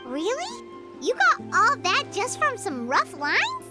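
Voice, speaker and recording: A woman voicing a tiny, chirpy, childlike fairy companion in a video game, squeaky and exclamatory. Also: wildly swooping pitch, slow, over loud music, full character voice. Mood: curious, skeptical. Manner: squeaky, exclamatory, refers to herself in third person.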